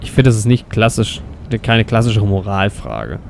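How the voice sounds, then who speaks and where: A man's voice: lowish voice, sing-song, normal speed, creaky, a little mellow. Man bantering playfully over faint background noise. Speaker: podcast co-host. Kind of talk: playful improvised audio story with casual banter.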